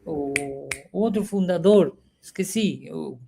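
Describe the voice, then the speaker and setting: A man in his sixties from Venezuela, speaking Portuguese with a Venezuelan Spanish accent, reflecting slowly as an interview guest over a video call with many pauses and the filler "este". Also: high-pitched, very animated, gravelly, a little hiss or hum. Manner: warm, halting, frequent filler "este".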